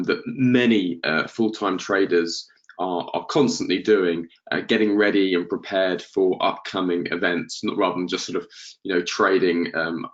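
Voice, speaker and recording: A low-pitched man with a blocked nose from the flu hosts a market-analysis webinar, often stumbling over his words. His voice comes through a dull, lo-fi webinar microphone. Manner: hesitant, many ums and restarts. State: congested from flu, coughing.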